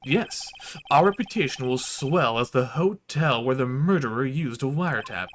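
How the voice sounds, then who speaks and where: A man's voice: mid-range voice, animated, moderate pace, a little hiss or hum, natural voice. A man voicing an obsequious, boastful and opportunistic hotel bellboy in a visual novel, fawning and formal. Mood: fawning, eager. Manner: fawning, formal, peppering speech with sir. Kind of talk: visual novel character read aloud.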